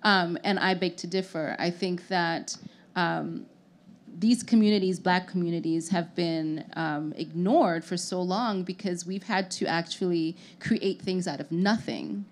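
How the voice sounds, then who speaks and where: A woman's voice: on the low side, fairly even intonation, unhurried. A young woman from Haiti speaking as an artist on a panel, earnest and thoughtful, often restarting her phrases, into a handheld mic in an indoor lounge. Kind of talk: panel discussion. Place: lounge venue with audience, indoors.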